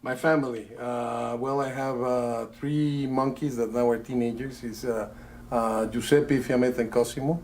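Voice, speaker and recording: A middle-aged man from Italy, a magician doing live patter for a rope trick, talking in a chatty, halting way with many fillers. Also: mid-range voice, steady tone, darkish tone. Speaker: magician guest.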